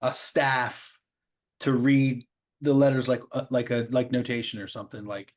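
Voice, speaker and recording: A man's voice: medium pitch, steady tone, normal speed. A man co-hosting a casual discussion of comics, agreeably chiming in with short reactions. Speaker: podcast co-host.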